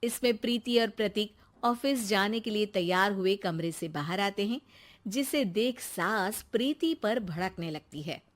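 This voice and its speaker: A woman's voice: medium pitch, animated, measured pace. A woman giving scripted narration as the storyteller of a family moral story.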